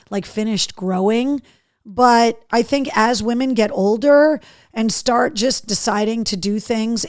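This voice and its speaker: A middle-aged woman hosting a live show, chatting casually and candidly with viewers in a slightly hoarse voice, with a cheeky streak. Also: medium pitch, dry recording, clean studio sound. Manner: candid, cheeky, mildly sweary. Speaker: podcast and livestream host. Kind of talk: casual live chat to viewers, reading out comments.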